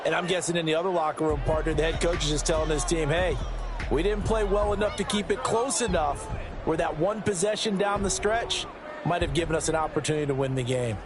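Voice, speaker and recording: A man's voice: fairly high pitch, brisk, background noise. A man giving conversational, opinionated color commentary on a football game. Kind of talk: video game analyst commentary.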